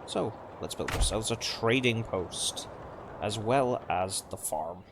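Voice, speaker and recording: A man giving casual, subdued gameplay commentary in halting phrases, his voice slightly off from a sore throat. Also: on the low side, expressive. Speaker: video game let's player. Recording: clearly noisy background.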